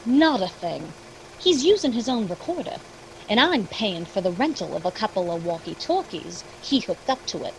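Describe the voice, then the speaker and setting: An actress playing a breezy, persuasive property agent in a radio drama, with a folksy, reassuring sales manner. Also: female voice, very animated, normal speed, poor audio. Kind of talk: old-time radio acting. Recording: faint engine rumble behind the voice.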